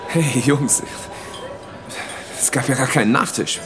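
A man's voice: mid-range voice, unhurried, noisy background, natural voice. An actor playing a young man who bluntly retells a brutal story about his girlfriend, in coarse words. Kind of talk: radio drama monologue.

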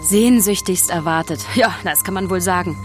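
Slightly low, chatty actress as storytelling maid. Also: female voice, normal speed, over music, lightly acted. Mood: confiding. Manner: conversational, confiding. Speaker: first-person narrator. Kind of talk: scripted storytelling for children.

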